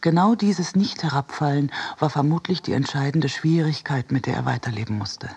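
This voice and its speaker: A man reading as a reflective, wry essayist in a measured, precise literary radio narration. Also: on the high side, darkish tone. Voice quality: husky, creaky. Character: reflective essayist and cinema-goer, wryly critical.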